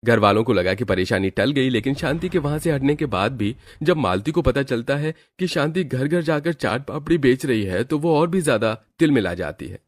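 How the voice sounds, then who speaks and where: A man's voice: medium pitch, animated, normal speed, hardly any pauses. A man narrating a scripted moral cartoon story as its storyteller. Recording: music bed behind the voice.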